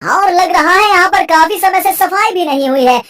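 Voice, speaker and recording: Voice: very high-pitched, fairly quick, a bit bright. An actor voicing a scheming sorcerer who poses as a kindly uncle, persuasive and ingratiating, in a cartoon moral story. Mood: sly coaxing. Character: scheming male sorcerer posing as a sweet-talking uncle. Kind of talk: animated Hindi moral story acting.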